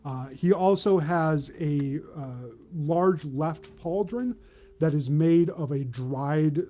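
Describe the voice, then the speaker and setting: A man working as game master, narrating a tabletop game in a measured, descriptive way with halts mid-phrase. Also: fairly high pitch, mellow.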